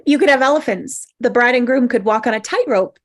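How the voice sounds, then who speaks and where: A woman's voice: on the high side, brisk, close to an everyday voice. A woman reading the part of a hapless, lovelorn maid of honor at a casual screenplay table read. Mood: casual, sheepish.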